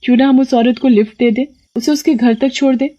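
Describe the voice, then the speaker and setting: A woman's voice: sits high, steady tone, fast talker, dark tone, muffled recording, pitched above the performer's own voice. A woman voicing a kind-hearted, chatty college-age daughter in a storybook tale, speaking eagerly and pleadingly. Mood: concerned, pleading.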